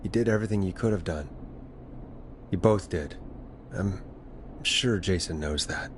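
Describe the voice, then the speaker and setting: A man playing an earnest, caring late-night radio host in a measured, dramatic style. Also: medium pitch, animated, slight background noise, natural voice. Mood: concerned. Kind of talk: dramatic game voice acting.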